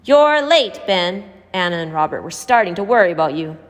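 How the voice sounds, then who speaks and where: A woman voicing a kindly old woman in a story, speaking with gentle concern. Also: on the low side, big pitch swings, moderate pace. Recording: hall-like reverb.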